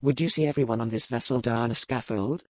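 A stern pirate captain, as a woman reader lowers her voice. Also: darkish tone, dry recording, studio quality. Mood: grave. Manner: measured, formal speech. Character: stern male pirate captain, grave and resolute. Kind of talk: audiobook character voice.

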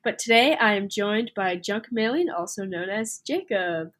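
A woman's voice: medium pitch, lively intonation, normal speed, a bit bright. A young woman hosting a college radio interview show, sounding nasal from allergies. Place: radio studio.

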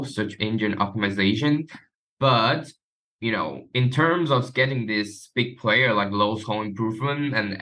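A man's voice: fairly low pitch, pushed and bright, rough recording. A man from Taiwan, a guest chatting casually in an interview. His phrasing is loose and sprinkled with "you know". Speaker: guest, marketing professional.

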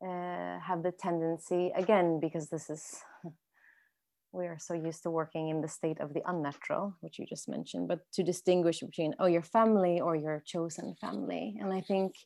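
A woman's voice: fairly low pitch, steady tone, moderate pace, vocal fry, warm tone. A woman interviewing a guest, listening closely with frequent murmured agreement. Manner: attentive, many murmured agreements. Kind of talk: conversational interview.

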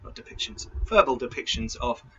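A man's voice: mid-range voice, sing-song, a little fast, pushed and bright, muffled recording, slight background noise. A man hosting a tabletop role-playing livestream as game master, chatting informally through announcements and correcting himself as he goes.

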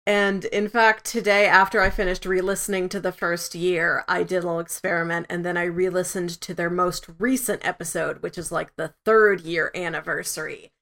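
Female podcast co-host, slightly low pitch, noticeable fry. Casual, conversational review talk. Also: steady tone, normal speed, hardly any pauses, fairly bright tone.

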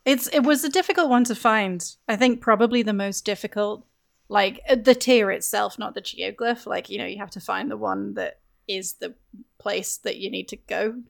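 A woman's voice: mid-range voice, moderate pace, gravelly. A woman chatting casually as a guest on a gaming podcast, with a wry manner. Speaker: guest on a video game podcast. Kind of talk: casual chat.

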